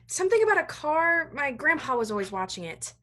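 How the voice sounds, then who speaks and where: A woman playing a guarded, conflicted young college student in a staged reading, halting and sighing as the character deflects. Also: high voice, sing-song, fairly bright tone. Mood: hesitant, explaining. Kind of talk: live staged reading of a play over video call. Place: online video call staged reading.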